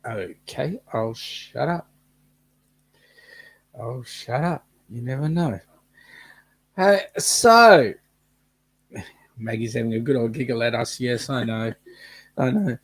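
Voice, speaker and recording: A man's voice: mid-range voice, lively intonation, slow, long pauses, breathy edge. A man, co-hosting a casual live-stream chat and joking playfully with his wife. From Australia. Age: late 50s. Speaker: co-host.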